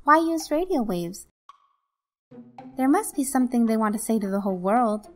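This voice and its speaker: A woman's voice: fairly high pitch, animated, normal speed, soft background music, natural voice. A woman voicing an earnest, assertive young resistance leader in an amateur video game dub. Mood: questioning, impatient.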